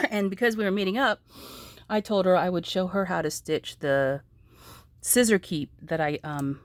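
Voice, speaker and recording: Hoarse, low-voiced woman crafter chatting. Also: unhurried, long pauses, dry recording, clean studio sound. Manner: chatty, rambling, many ums and restarts. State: hoarse with a sore throat. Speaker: craft vlogger. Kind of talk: casual chat showing crafts.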